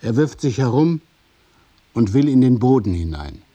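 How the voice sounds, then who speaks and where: A man narrating a scripted radio drama in the present tense, with deliberate, measured phrasing. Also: mid-range voice, big pitch swings, mellow, slightly rough.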